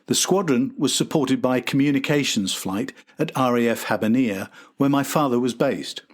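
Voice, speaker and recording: A man's voice: mid-range voice, moderate pace. A man hosting a podcast, reading a scripted historical documentary narration.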